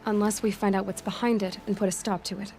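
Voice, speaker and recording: An actress playing a determined young huntress who speaks in a direct, practical and sceptical way. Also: female voice, medium pitch, little pitch movement, fast, a little mellow, soft background music, close to an everyday voice.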